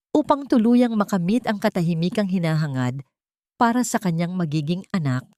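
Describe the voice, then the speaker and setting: A woman with a Filipino accent narrates a scripted dramatic story in a measured, solemn, suspenseful way. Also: lowish voice, darkish tone, no room sound, clean studio sound. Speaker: storyteller, narrator of audio stories.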